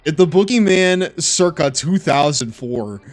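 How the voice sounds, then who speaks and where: A man's voice: on the high side, expressive, a little slow. A man in his twenties streaming a game and chatting casually, with slangy, joking, profane talk. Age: 20s. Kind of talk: casual livestream chat.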